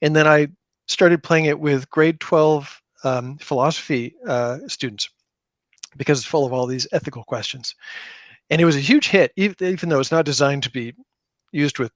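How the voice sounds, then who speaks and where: Higher-voiced man chatting in a dry room. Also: moderate pace, dry recording. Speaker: podcast guest, game creator; high school teacher. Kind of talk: casual interview chat, anecdotal storytelling.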